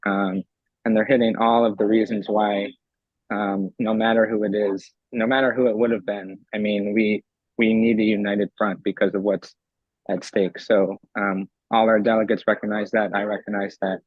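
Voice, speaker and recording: A young man from Eastern Pennsylvania, a convention delegate whose voice is raspy from screaming, answering eagerly with many ums in a remote interview. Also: fairly low pitch, little pitch movement, normal speed, dry recording, narrow, tinny audio. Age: young adult. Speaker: convention delegate and law student.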